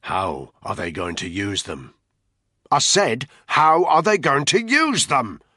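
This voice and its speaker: A man's voice: sits low, unhurried, pushed and bright, slightly rough, no echo, studio quality, heavily acted. A man playing a hard, ruthless military operative in an audiobook thriller, questioning curtly and with menace. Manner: curt, menacing.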